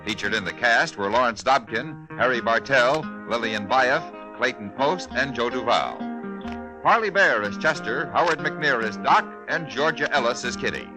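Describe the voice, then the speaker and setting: A man announcing scripted credits in formal, measured 1950s radio style. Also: mid-range voice, animated, over music, low-quality recording.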